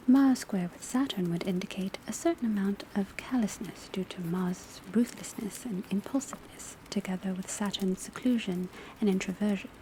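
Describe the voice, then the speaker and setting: Soft whispering woman giving an ASMR lecture. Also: mid-range voice. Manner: measured, instructional, precise. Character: calm female witch-school divination professor. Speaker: ASMR performer playing a divination class teacher. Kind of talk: ASMR roleplay, scripted classroom lecture. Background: crackling fireplace, rain on rooftop, pencil writing.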